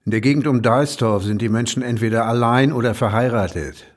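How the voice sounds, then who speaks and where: An actor playing a gruff, guarded village choir leader in an audio drama, speaking in a curt, dry, commanding way. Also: male voice, mid-range voice, fairly quick, lightly acted. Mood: guarded, probing. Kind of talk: German audio drama acting.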